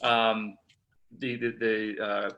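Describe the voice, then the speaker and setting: A man hosting a casual live-stream history chat, speaking in a halting, informal way. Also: fairly low pitch, level tone, sharp tone, dull audio. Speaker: host, history blog contributor.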